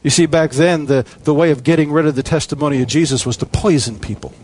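Emphatic male preacher, fairly high. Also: quick pace, darkish tone, a little hiss or hum. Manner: emphatic, repetitive preaching cadence. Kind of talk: sermon.

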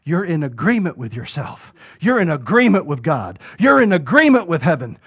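Preacher guy, kinda high voice, gets loud n punchy, muffled lo-fi audio. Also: very animated, normal speed, slightly rough. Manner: rises to loud emphatic preaching peaks, acts out quoted voices. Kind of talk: sermon. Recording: dull lo-fi sound.

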